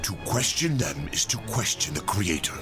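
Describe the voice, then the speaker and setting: Very deep, solemn angel. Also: male voice, big pitch swings, moderate pace. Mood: troubled. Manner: grave, formal, imploring. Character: solemn, anguished ancient angel of death. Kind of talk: dramatic video game character acting. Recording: loud music behind the voice.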